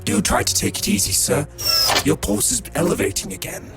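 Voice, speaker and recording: A dutiful robot butler, acted by a man, pitched high with a lilting, swooping delivery and formal servant's manners. Music plays behind his deferential, gravelly lines in this audio drama. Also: wildly swooping pitch, fairly quick, exaggerated voice. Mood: dutiful, alert. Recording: music bed under the voice.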